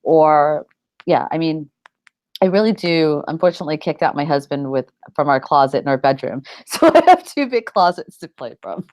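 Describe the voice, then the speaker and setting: Middle-aged female interviewee, low voice, informal and rambling creative type, close dry sound. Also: animated, normal speed, a little mellow, no room sound. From United States. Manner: rambling, informal, frequent "like" and "you know". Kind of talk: casual interview chat.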